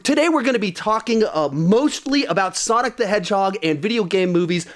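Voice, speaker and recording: A man's voice: high voice, animated, a little fast. A man hosting a podcast in a casual, conversational style. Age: adult with young child. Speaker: podcast host.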